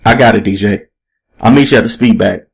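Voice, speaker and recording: A man's voice: fairly low pitch, fairly quick, vocal fry, breathy edge, exaggerated voice. A man playing a proud, guarded retired boxing champion in an audiobook story, speaking in a matter-of-fact, evasive way.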